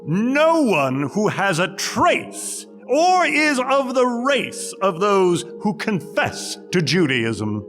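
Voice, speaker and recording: High-voiced, grandiose charlatan showman. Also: huge pitch swings, slow pace, few pauses, a bit bright, heavily acted. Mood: proclaiming. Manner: declamatory. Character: grandiose male charlatan showman, slick and theatrical. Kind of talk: theatrical staged reading. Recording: reverberant hall, faint music.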